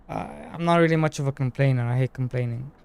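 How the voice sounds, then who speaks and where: Smooth-voiced man chatting on a stream. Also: medium pitch, normal speed, darkish tone. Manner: easygoing, says "bro". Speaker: indie game developer streamer. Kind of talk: casual live-stream chat.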